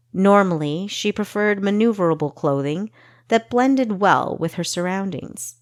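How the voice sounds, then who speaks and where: Slow, low-voiced woman narrating. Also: dry recording, studio quality. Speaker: author and audiobook narrator. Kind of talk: scripted fantasy audiobook narration.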